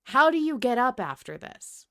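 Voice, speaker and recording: A woman hosting an animated fan discussion, talking emphatically with plenty of swearing and rhetorical questions. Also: high-pitched, normal speed. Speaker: podcast host.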